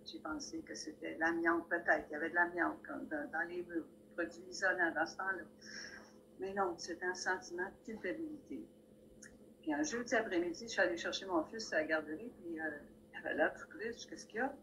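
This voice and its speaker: Older woman, low-pitched, plainspoken storyteller, video call with faint hiss. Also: lively intonation, brisk, fairly bright tone, low-quality recording. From Montreal. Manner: plainspoken storyteller, wry asides. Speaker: guest speaker. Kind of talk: personal anecdotes at a community talk. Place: library room with bookshelves, indoors. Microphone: video call, laptop camera.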